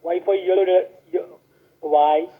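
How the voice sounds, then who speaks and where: A man cold-calling as a scam caller, pushing a scripted pitch and spelling letters out slowly over a phone line. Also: fairly even intonation. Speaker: scam caller posing as support.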